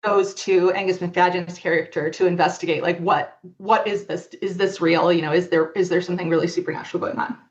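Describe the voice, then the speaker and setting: A woman's voice: lowish voice, steady tone, a little fast, hardly any pauses, muffled recording. A woman chatting casually as an interview guest, explaining and recommending things in an enthusiastic, emphatic way. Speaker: podcast guest, longtime fan fiction author and artist.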